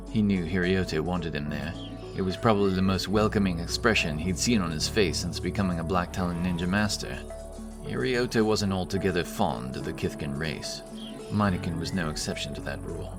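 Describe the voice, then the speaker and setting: A man's voice: deep voice, a little fast. A man reading dramatic scripted fantasy narration as an audiobook storyteller. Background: music.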